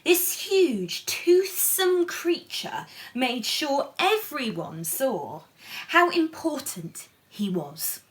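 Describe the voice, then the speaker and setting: Expressive woman reading a children's storybook, unhurried. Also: high-pitched. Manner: playful, chatty, asking the audience questions. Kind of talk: animated picture-book reading with chatty asides to young viewers.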